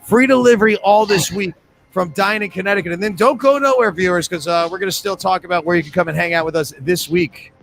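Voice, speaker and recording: High bright-voiced male trivia host. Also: quick pace, vocal fry, faint music behind. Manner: energetic, joking, rambling ad reads. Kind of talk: live online trivia hosting.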